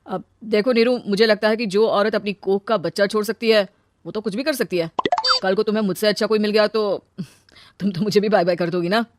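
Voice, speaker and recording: A woman's voice: mid-range voice, fairly even intonation, a little fast, natural voice. A woman voicing a young male suitor and husband in a cartoon story, talking in an insistent manner. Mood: suspicious.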